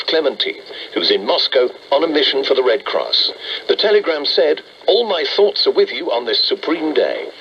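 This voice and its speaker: A man's voice: mid-range voice, muffled recording. A British man reading a scripted radio news bulletin in a 1940s style. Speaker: radio newsreader. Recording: noisy band-limited medium wave radio.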